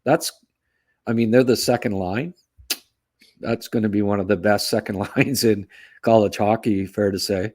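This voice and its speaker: Deep-voiced man talking hockey casually. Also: fairly even intonation, normal speed, long pauses, a little mellow. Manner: chatty, tags remarks with "eh", chuckles at own asides. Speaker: sports podcast co-host and prospect analyst.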